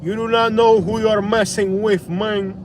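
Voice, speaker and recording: A man streams live from his car at night and gives his blunt, slangy opinions. His voice sits fairly high. A faint hum of road noise runs under him. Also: fairly even intonation, normal speed. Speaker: vlogger. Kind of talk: live-stream rant while driving.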